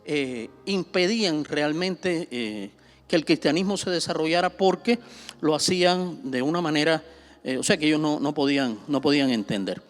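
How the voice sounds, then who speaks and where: Cuban pastor teaching in a seminar. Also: male voice, on the high side, normal speed. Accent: Cuban Spanish. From Cuba. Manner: conversational, with many fillers and repetitions; rises sharply when stressing a point.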